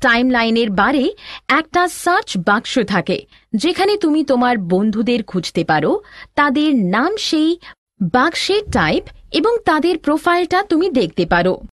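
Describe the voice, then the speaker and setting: A woman's voice: high-pitched, big pitch swings, a little slow, no echo, clean studio sound, exaggerated voice. A woman playing a helpful elder sister, giving scripted, reassuring instruction in an educational cartoon. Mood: instructive. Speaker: tutorial narrator and teacher.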